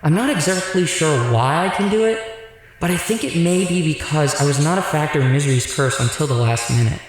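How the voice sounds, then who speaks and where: A man's voice: a little high, animated, fairly quick, close to an everyday voice. A man acting in an audio drama as an earnest, weary demon fighter who explains things patiently. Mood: explaining. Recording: very echoey, long hall reverb.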